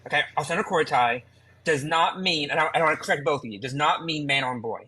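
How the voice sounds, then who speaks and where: A man's voice: a little high, fast, sharp tone. Male debater, raised register, didactic explainer, emphatic, repeats key points. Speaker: debate participant correcting others.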